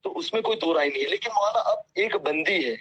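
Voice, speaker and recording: Man, earnest and apologetic, husky voice, lo-fi recorded phone call. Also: on the high side, animated, fast talker, a bit bright. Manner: humble, apologetic and earnest, pleading at length. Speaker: cleric answering a phone call. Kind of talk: phone conversation.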